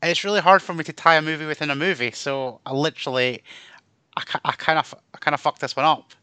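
A man's voice: a little high, steady tone, quick pace, bright, forceful tone, smooth, no room sound. A man co-hosting a podcast in casual chat, rambling and poking fun at himself with the odd swear. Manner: rambling, self-mocking, swears. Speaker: podcast co-host.

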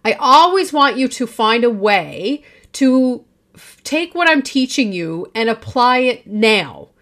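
A woman's voice: fairly high pitch, expressive, slow pace, a bit bright. A woman in her forties hosting a solo podcast, talking in a confident, projecting and emphatic way. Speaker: podcast host.

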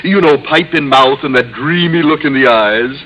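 An actor playing a fussy, excitable, gushing photographer with a fluttery manner in an old radio comedy. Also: male voice, medium pitch, moderate pace. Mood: excited, fussy. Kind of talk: 1950s radio comedy acting. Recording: noisy old radio broadcast recording.

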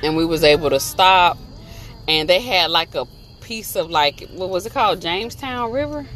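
A woman's voice: lowish voice, lively intonation, moderate pace, a bit bright. A woman in her thirties chatting casually for a travel vlog from inside a moving car, laughing often. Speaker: vlogger.

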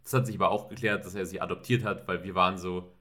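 A man's voice: low voice, steady tone, quick pace, pushed and bright. A man co-hosting a podcast, recounting scenes in detail in a casual chat. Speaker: podcast co-host. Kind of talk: casual episode discussion.

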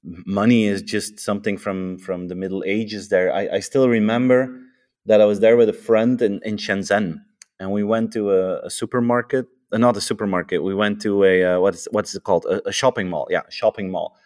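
A man's voice: lowish voice, steady tone, brisk, a little mellow. A man with a Dutch accent, a native Dutch speaker, chatting casually and eagerly, often repeating words as he starts a thought and adding "huh?" tags. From Europe. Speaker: author and podcast guest. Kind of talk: casual conversation.

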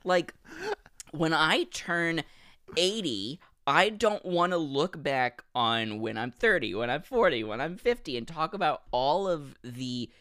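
A man in his thirties co-hosting a podcast, chatting casually, animated and laughing, often restarting his sentences. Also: on the high side, measured pace, dry recording, studio quality. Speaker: podcast co-host. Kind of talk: casual chat.

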